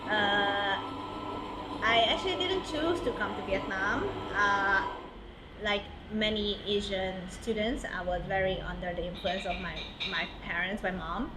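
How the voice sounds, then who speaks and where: A young woman from Hungary, a podcast guest, talking in a relaxed, chatty way and laughing easily. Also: medium pitch, measured pace. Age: young adult. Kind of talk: casual interview chat. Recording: roomy with clear background noise.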